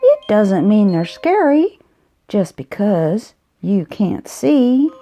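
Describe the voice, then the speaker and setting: Slow, expressive woman reading a storybook. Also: medium pitch. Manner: expressive, drawn-out emphasis. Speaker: storybook reader. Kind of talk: reading a children's picture book aloud. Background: music.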